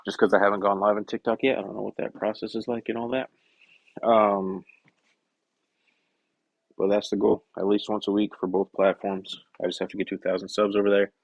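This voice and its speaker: A young man chatting casually on a work-shop live stream, rambling and correcting himself, his voice hoarse and scratchy from a cough. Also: fairly low pitch, flat delivery, a little fast, dry recording, dull audio. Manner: rambling, self-correcting, soft-spoken asides. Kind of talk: casual live-stream chat while working.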